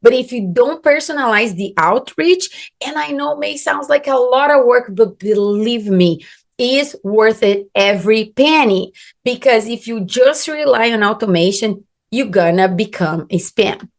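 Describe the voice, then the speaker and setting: A woman's voice: fairly high pitch, expressive, a little slow. A woman from Brazil with a Brazilian Portuguese accent, a business coach giving an earnest, emphatic instructional talk in English as a second language. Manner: earnest, emphatic, non-native grammar.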